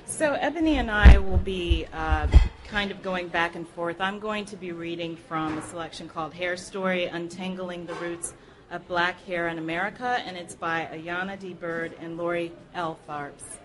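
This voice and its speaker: A woman's voice: lowish voice, moderate pace, a crowd in the background, rough recording. A woman reading book excerpts aloud to an audience at a live library program. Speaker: reader at a public library program.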